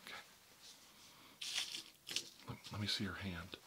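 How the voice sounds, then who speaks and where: A man speaking softly and reassuringly, often in a whisper, as a caregiver in a medical roleplay. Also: low voice, flat delivery, slow pace, heavy background noise.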